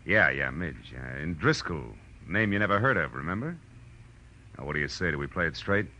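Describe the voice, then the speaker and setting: An American actor playing a cocky, hard-boiled private detective in 1940s radio noir, with a wry, clipped and sardonic delivery. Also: male voice, rumbling low, animated, fairly quick, pushed and bright, heavily acted. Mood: sardonic, pressing. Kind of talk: 1940s radio noir acting, first-person narration and dialogue. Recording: dull vintage radio recording with music.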